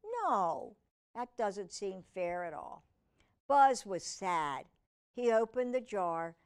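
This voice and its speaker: Woman, children's storytime host, lively pitch, unhurried pace, expressive read-aloud, chatty asides. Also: smooth. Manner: expressive, slow deliberate reading with chatty asides and questions. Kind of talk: reading a picture book aloud with casual asides to young viewers.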